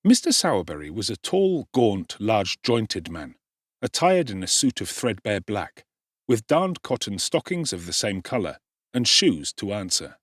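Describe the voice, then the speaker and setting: A man's voice: lowish voice, sing-song, unhurried, no echo. Male narrator for a dramatic audiobook, wry and theatrical, close and dry.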